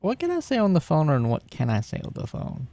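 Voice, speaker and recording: A man plays a cheeky, casual woman in a tabletop roleplaying game. She is breezy and coy, a little hesitant, with a creaky voice recorded close and dry. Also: on the high side, wildly swooping pitch, brisk, warm tone, no echo, pitched above the performer's own voice. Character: Jules, casual cheeky woman who smokes.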